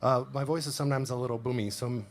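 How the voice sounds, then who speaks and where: A man's voice: medium pitch, steady tone, racing. A man doing a casual, jokey sound check at a mic on an outdoor festival stage. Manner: jokey, rambling. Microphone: stage vocal mic. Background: instruments on stage.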